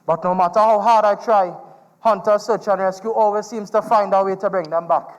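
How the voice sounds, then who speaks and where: An actor playing a weary, protective old forest guardian in a solemn, commanding stage manner. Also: male voice, high-pitched, steady tone, a little fast, lo-fi sound, close to an everyday voice. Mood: weary, regretful. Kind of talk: live stage play. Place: theatre stage in a large venue. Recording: echoing hall, clear background noise.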